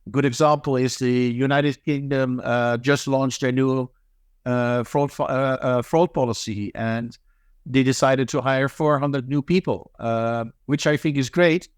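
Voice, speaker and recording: Man, smooth hesitant speech. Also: medium pitch, steady tone, no echo, clean studio sound. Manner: halting, many fillers and restarts. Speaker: guest, managing director of an anti-scam nonprofit. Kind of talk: interview answers, thoughtful and hesitant.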